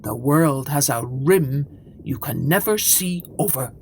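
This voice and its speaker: A woman voicing a lofty, condescending male ship in a children's story, speaking in a measured, declaiming way. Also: very deep voice, expressive, slight background noise, voice pushed down, heavily acted. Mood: lofty, superior.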